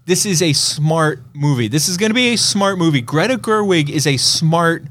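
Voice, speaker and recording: A man's voice: a little high, expressive, moderate pace, fairly bright tone, dry recording. A man co-hosting a casual film discussion podcast, giving his opinions in a confident, conversational way. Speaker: podcast co-host. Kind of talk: casual movie trailer discussion.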